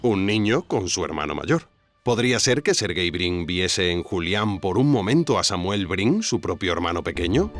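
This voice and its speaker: An actor playing an earnest investigative documentary narrator with an accent from Spain, reading scripted narration. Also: male voice, low-pitched, expressive, moderate pace, soft background music. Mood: speculative. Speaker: narrator, host.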